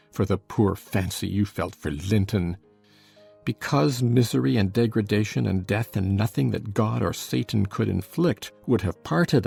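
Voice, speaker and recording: A man acts out a tormented, brooding lover in an audiobook drama. His low, dark voice grinds with gravel as he speaks in a bitter, fiercely passionate, drawn-out way over faint music. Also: exaggerated voice. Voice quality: gravelly, husky. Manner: anguished, drawn-out, intense delivery.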